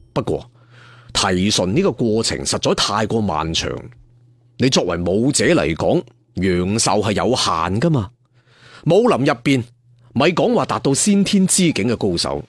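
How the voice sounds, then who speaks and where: A man telling a martial arts story in an animated, conversational, dramatic way. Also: mid-range voice, dry recording. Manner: animated, conversational, with spoken sound effects.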